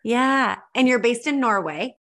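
A woman's voice: a little high, animated, measured pace, a bit bright. Enthusiastic woman podcast host. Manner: enthusiastic, affirming, repeats words. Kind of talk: casual interview chat. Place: home studio over a video call. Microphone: video call.

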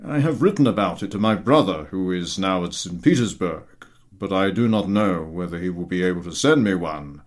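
A man voicing a gruff, unhurried military general with a blunt, reserved manner in a story reading. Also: low-pitched, animated. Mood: measured, curious.

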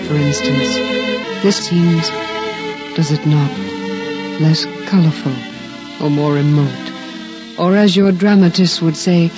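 A woman acts out a devout young biblical mother, reverent and tender, in measured, formal 1950s radio drama diction. The old recording is dull and muffled, with music clearly playing underneath. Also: on the low side, lightly acted. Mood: gentle, reflective.

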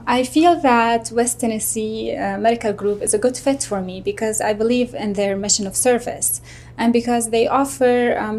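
A woman from Jordan, a physician guest, answering interview questions in a measured way with frequent ums and uhs. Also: mid-range voice, darkish tone. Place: studio.

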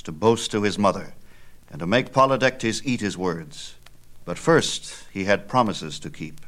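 Male narrator, lowish register, dramatic and declamatory, reading myth in measured phrases. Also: fairly bright tone. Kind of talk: dramatic scripted storytelling of myth.

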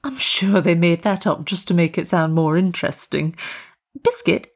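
A woman voicing a kindly, eccentric village tea lady in storybook dialogue, chirpy, motherly and gently questioning. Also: fairly low pitch, moderate pace, husky. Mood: storytelling, hushed.

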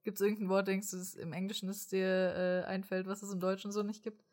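A woman's voice: medium pitch, flat delivery, fairly quick, darkish tone. A young woman hosting a casual interview, talking animatedly and mixing English words and the odd swear into her speech. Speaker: host. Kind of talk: casual chat.

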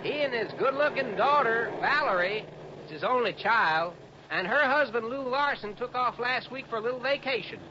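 A man's voice: very high voice, expressive, moderate pace, pushed and bright, heavily acted. An American man playing a young, folksy, wordy insurance agent with a hesitant manner in a 1960s radio drama. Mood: folksy, explaining. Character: young, rambling male insurance agent out west. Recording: dull, noisy vintage radio recording.

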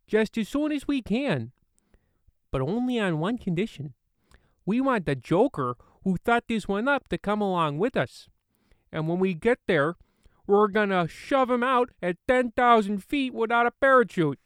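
A man's voice: high-pitched, animated, measured pace, a bit bright, no echo, clean studio sound. A man with an Australian accent playing an angry, swearing squadron leader in a comedy audio drama. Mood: defiant. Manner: swears constantly, gruff and defiant.

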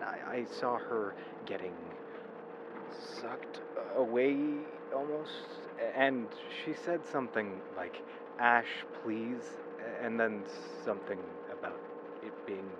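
A man playing a gentle, apologetic young man, a careful and hesitant friend, in an audio drama. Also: mid-range voice, lightly acted. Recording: dull, noisy lo-fi recording.

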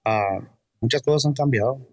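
A man from California, a guest musician, talking in a hesitant, halting and soft-spoken way during a casual interview. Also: mid-range voice, no room sound.

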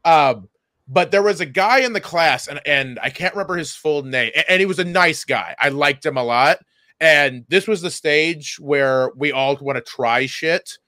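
Male comedy podcaster, bright and slightly high voice, halting casual storyteller, close dry sound. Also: dry recording. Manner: halting, repeats words, profane. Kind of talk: casual chat, telling anecdotes.

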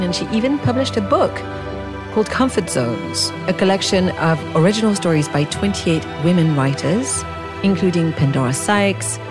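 A woman with a fairly low voice speaks as a podcast host. She introduces and leads an interview, with clearly audible music in the recording.

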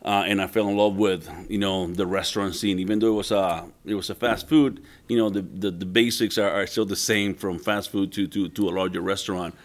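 A middle-aged man from Puerto Rico, a chef and entrepreneur, telling his story in a relaxed, rambling way full of "uh" and "you know". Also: low-pitched, fairly quick, hardly any pauses. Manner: rambling, many "uh" and "you know" fillers and repeated words.

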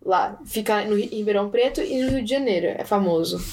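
A woman's voice: medium pitch, quick pace, a little mellow. A young woman from Brazil, a guest telling family stories in a casual interview, chatty and laughing easily.

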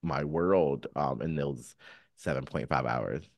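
Very low-voiced man chatting candidly. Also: slow pace, creaky, no room sound. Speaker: podcast co-host; counselor. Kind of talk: casual chat, candid and personal.

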